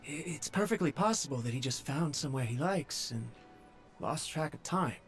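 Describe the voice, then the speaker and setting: A man's voice: a little high, animated, moderate pace, faint music behind. An actor playing a nervous young male servant who stammers and speaks humbly. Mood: worried.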